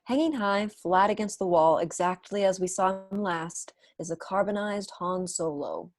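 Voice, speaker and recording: A woman's voice: medium pitch, normal speed, dry recording, studio quality. A young woman reading stage directions as scripted narration for an online table read. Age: young adult. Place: room at home, on a video call.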